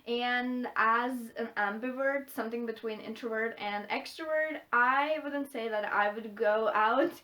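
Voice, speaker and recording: A young woman from Latvia whose native language is Russian talks casually as a language vlogger. Her voice sits a little high and her pace is slow. She often stops to search for the next word as she answers questions. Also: pushed and bright. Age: young adult.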